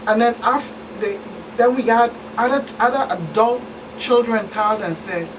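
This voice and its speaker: A woman recounting cases conversationally as a guest expert, in a halting, deliberate way. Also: fairly high pitch. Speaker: police commander, guest expert. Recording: distant mic, clear background noise.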